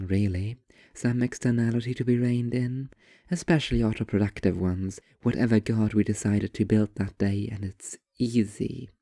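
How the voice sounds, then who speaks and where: Casting: a man playing a pretentious young woman writer for audio fiction, world-weary and curt, low register. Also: mellow, no room sound, studio quality.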